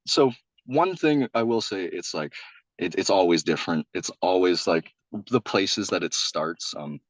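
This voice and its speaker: A young man, a singer-songwriter guest, talking loosely in a casual interview, with a loud voice and lots of restarts and "like.". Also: deep voice, expressive, a little slow, no echo, low-quality recording. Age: young adult. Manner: rambling, many restarts and "like".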